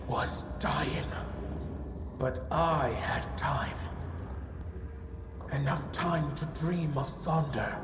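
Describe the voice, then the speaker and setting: An actress playing an ancient giantess in a fantasy game, solemn, grieving and fiercely maternal, speaking gravely and formally. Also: female voice, lowish voice. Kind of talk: dramatic fantasy game dialogue. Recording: echoey voice over loud music.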